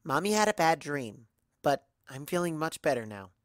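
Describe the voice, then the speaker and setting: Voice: mid-range voice, sing-song, moderate pace, no echo, clean studio sound, pitched above the performer's own voice, lightly acted. An adult narrator voicing a sensitive young female unicorn professor and devoted wife in a fan fiction reading. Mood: reassuring.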